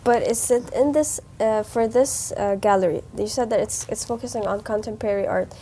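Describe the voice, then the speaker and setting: A woman co-hosting a podcast, asking hesitant, halting questions. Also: on the high side, warm tone. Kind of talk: interview questions. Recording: faint background noise.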